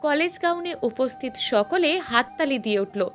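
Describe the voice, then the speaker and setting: A woman's voice: high-pitched, lively intonation, a little slow, fairly bright tone. A woman reading a scripted story as a narrator, with dramatic, emotive delivery. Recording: music bed under the narration. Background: background music.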